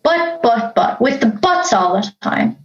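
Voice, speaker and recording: A woman playing a brisk, impatient, nagging mother in an audio drama. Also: a little high, sing-song, roomy sound, muffled recording.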